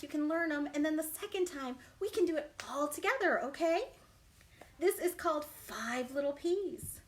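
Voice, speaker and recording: High, very animated woman reading to children. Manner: exaggerated, encouraging, asks young listeners questions with pauses. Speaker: children's librarian. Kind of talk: animated children's storytime read-aloud and chat.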